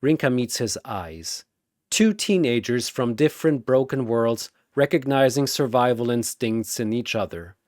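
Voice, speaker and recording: Guy narrating an epic battle, super dramatic n punchy, slow pace, pitch all over, dry sound. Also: expressive, a little mellow, no echo. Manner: theatrical, punchy delivery.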